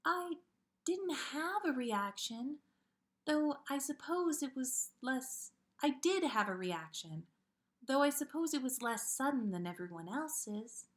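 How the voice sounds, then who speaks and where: A woman voicing a dreamy teenage witch girl, teasing and shyly lovestruck, with an airy, halting delivery in a storybook reading. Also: high-pitched, big pitch swings, pitched above the performer's own voice, full character voice. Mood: shy, confessing.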